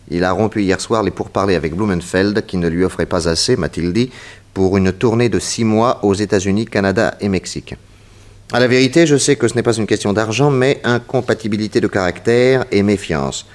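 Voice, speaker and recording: An actor playing a calm, shrewd detective in a 1960s French radio drama, probing and methodical, politely commanding. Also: male voice, on the low side, close to an everyday voice. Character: calm, shrewd male detective leading an inquiry.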